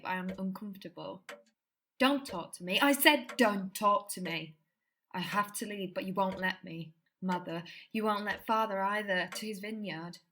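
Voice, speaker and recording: Lady doing stifled anguished young woman, poetic radio play monologue, medium pitch, slight background noise. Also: fairly bright tone, lightly acted. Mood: pleading, agitated. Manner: poetic, dramatic, repetitive phrasing.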